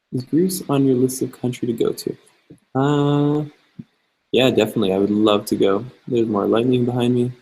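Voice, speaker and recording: A young man, a travel vlogger, chatting casually and answering viewer questions in a relaxed, rambling way. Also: mid-range voice, gravelly, breathy edge, rough recording. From Canada. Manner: relaxed and chatty, many fillers, reads questions aloud.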